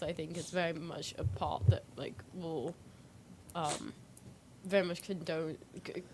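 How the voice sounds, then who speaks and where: A woman on a talk show panel giving her opinions in a halting, casual discussion style. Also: on the low side, creaky, slight background noise.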